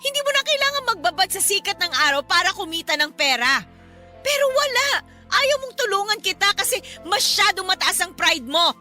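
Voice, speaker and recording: A woman's voice: very high voice, big pitch swings, normal speed, pushed and bright, faint music behind, full character voice. A woman playing a fed-up, defiant wife and mother scolding her husband in a Filipino radio melodrama. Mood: angry, reproachful. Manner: scolding, emphatic, emotionally heated.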